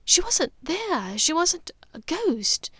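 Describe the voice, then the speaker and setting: A woman voicing a haunted, gently resolute witch mother in an audiobook, speaking earnestly and haltingly with care. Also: extremely high pitch, sing-song, no room sound, voice pushed up, exaggerated voice. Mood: halting, urgent. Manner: halting, vehement, careful. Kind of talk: audiobook character dialogue.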